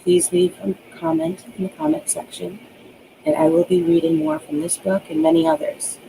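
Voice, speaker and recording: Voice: medium pitch, big pitch swings, normal speed, gravelly, noisy background. An author reading their own novel aloud as scripted audiobook narration.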